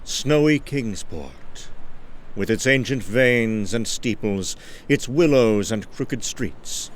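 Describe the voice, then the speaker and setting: A man playing a lonely, uneasy traveller who narrates a gothic horror tale in a brooding, halting, theatrical way. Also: low voice, a little hiss or hum. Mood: hushed awe. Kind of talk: dramatic scripted horror narration.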